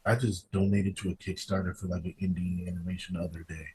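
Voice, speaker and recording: Low-voiced man hosting a casual podcast. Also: level tone, fast talker, warm tone, a little hiss or hum, poor audio. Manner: emphatic, repeats phrases. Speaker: podcast host. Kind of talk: casual interview chat.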